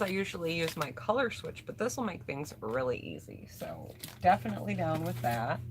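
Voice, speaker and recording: A woman's voice: lowish voice, fairly bright tone, a little hiss or hum. A woman chatting casually on a livestream as a beauty vlogger, thinking aloud and addressing viewers directly as she presents products.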